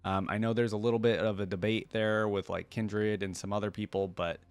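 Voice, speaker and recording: A man's voice: on the low side, level tone, a little fast, clear, resonant tone. A man hosting a gaming reaction video, talking informally and thinking aloud as he comments and reads along.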